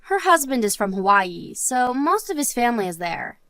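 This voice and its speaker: A woman's voice: on the high side, sing-song, normal speed, fairly bright tone, dry recording. A woman playing an earnest married woman and loyal friend in a scripted story drama. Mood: calm, chatty.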